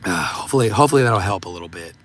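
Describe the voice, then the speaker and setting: A man hosting a livestream, talking casually and haltingly with many fillers, his voice going hoarse and giving out. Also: low voice, expressive, a bit bright, a little hiss or hum. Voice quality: gravelly, voice giving out. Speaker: livestream host. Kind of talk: casual livestream talk reading super chats.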